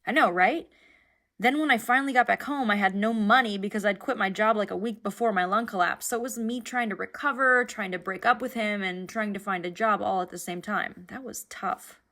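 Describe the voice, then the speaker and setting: Woman doing anxious young cartoon passenger, lots of vocal fry, rambly oversharing, kinda self-deprecating. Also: mid-range voice, fairly quick, bright, forceful tone. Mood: candid, rueful. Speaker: passenger in an animated series. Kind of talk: naturalistic confessional conversation.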